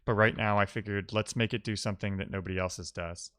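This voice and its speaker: A man with a fairly low, crisp voice talks informally as he codes on a livestream. He thinks aloud and chats to his viewers. The sound is dry and close, with little room to it. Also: fairly even intonation, fast, fairly bright tone, no room sound. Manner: informal, reading and answering chat messages aloud. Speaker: live-streaming programmer, host. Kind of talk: casual live-coding stream chat, thinking aloud.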